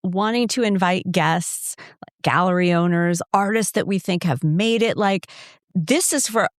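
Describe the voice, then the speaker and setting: A woman co-hosting a casual podcast conversation, effusive and bubbly, gushing with warmth about her partner. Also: fairly low pitch, unhurried, no room sound, studio quality. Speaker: podcast co-host.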